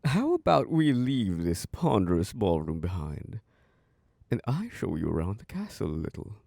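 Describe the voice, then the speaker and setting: A man voicing an ancient, charming and possessive male vampire lord who hosts a masquerade, speaking in a slow, seductive, close-mic ASMR roleplay with soft chuckles. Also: wildly swooping pitch, dry recording, exaggerated voice. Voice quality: low gravelly voice. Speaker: castle host.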